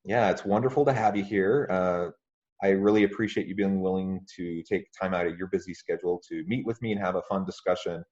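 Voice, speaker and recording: Deep-voiced male podcast host. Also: steady tone, darkish tone, muffled recording. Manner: friendly, measured, filler-heavy. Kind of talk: podcast interview.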